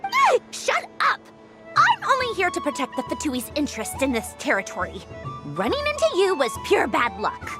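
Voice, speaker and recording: A woman voicing a haughty, sharp-tongued young woman in a video game, scornful and easily flustered. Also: high-pitched, wildly swooping pitch, moderate pace, over music. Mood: flustered, indignant. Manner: scornful, mocking, indignant. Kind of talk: video game character voice acting.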